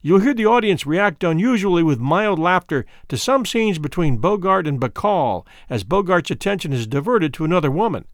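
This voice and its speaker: A man's voice: high voice, animated, normal speed, hardly any pauses, no room sound, clean studio sound. A man hosting, reading a scripted introduction. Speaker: host.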